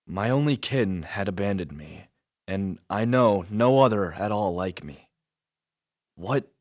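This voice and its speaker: A lonely creature , acted by a man, recounts his life for a radio drama. The voice is low and formal, with a brooding, reflective turn. The sound is close and dry. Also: no room sound, exaggerated voice. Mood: brooding, sorrowful. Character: lonely, thoughtful male creature telling his life story. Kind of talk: radio play narration.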